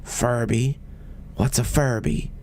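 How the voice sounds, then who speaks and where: A man's voice: mid-range voice, steady tone, slow pace, a little mellow, a little hiss or hum, close to an everyday voice. A man voicing a small, big-mouthed creature in a horror story, a secretive, coaxing, childlike playmate. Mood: puzzled.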